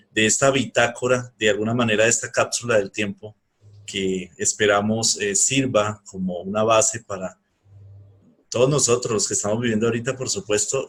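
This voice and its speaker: A man's voice: on the low side, a bit bright, breathy edge. A man with a Bogotá Colombian accent, speaking earnestly and courteously about himself as an interview guest. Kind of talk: personal talk in an interview.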